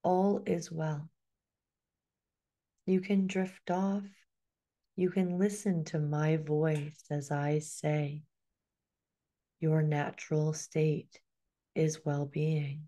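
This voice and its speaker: Slow, soothing hypnotist woman. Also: low-pitched, flat delivery, warm tone. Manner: slow, soothing, drifting into whispers. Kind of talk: guided hypnosis session.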